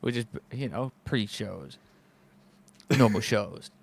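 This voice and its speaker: Relaxed slangy podcast co-host. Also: male voice, lowish voice, slow, creaky. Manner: relaxed, slangy, stammering restarts, joking. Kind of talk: casual esports podcast chat.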